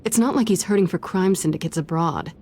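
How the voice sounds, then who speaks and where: A woman's voice: fairly low pitch, fairly quick, darkish tone, a little hiss or hum, close to an everyday voice. An actress playing a tough, streetwise female thief in a video game, wry, cool and guarded. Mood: sardonic, wary.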